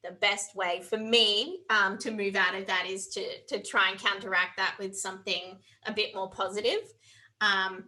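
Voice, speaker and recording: Woman, panelist, bright clear tone, thoughtful, hesitant, lots of fillers, video call. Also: mid-range voice. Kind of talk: casual panel chat.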